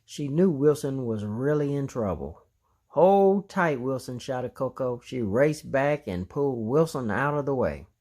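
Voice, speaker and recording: A man's voice: mid-range voice, lively intonation, a little slow, few pauses, a little mellow. A man reading a children's story aloud as narrator. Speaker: storybook reader.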